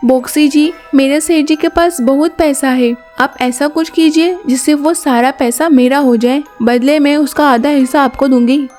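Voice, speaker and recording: A woman's voice: high voice, steady tone, moderate pace, hardly any pauses, a little mellow, faint music behind, lightly acted. A woman voicing a greedy, scheming housemaid with a sly manner in a moral story. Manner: sly, plotting.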